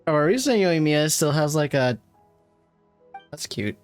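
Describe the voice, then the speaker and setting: Low-voiced woman musing over a game. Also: sing-song, unhurried, soft background music. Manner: musing aloud, informal. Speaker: game streamer. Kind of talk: casual live reaction commentary.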